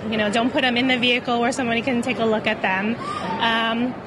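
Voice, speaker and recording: A middle-aged woman speaking into a handheld mic in a shopping mall, laying out practical advice. Her voice sits slightly high over clear background noise. She peppers the instructions with casual fillers. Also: steady tone, fast talker. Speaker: giving safety tips. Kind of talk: advice in an interview. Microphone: handheld reporter mic.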